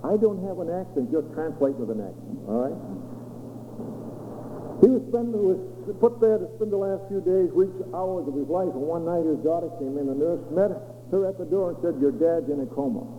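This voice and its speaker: A high-voiced man with a Maine accent preaches in a dramatic, swooping storyteller's manner, acting out each quote. His voice rings through a large echoing hall. The sound is thin and phone-like, with a faint hum underneath. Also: lively intonation, moderate pace. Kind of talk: sermon testimony storytelling. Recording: echoey hall over a tinny lo-fi line with faint hum.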